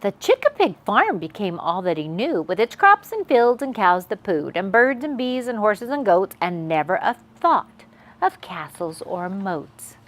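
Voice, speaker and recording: A woman's voice: mid-range voice, big pitch swings, unhurried. A woman hosting a children's read-aloud, telling a picture-book story expressively to young viewers.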